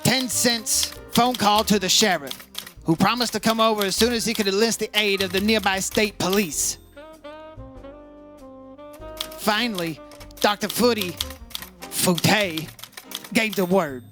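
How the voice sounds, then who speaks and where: A man playing a brisk, matter-of-fact insurance investigator in an old-style radio detective drama. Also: high voice, music in the background. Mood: brisk, dry. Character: brisk male insurance investigator narrating his case. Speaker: actor playing the lead investigator. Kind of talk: 1950s radio detective acting, scripted narration.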